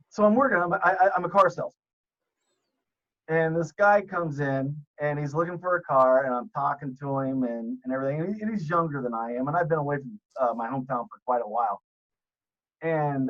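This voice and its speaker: Male podcast guest, casual storyteller, animated and jokey, voice leaps high for punchlines, dull lo-fi sound. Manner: animated, jokey, swears, squeaks up when excited.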